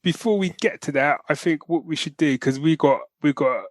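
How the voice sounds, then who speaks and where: A man's voice: on the high side, moderate pace, no room sound, studio quality. A man co-hosting a podcast, chatting casually about geek news in a jokey, slangy, conversational way. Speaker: podcast co-host.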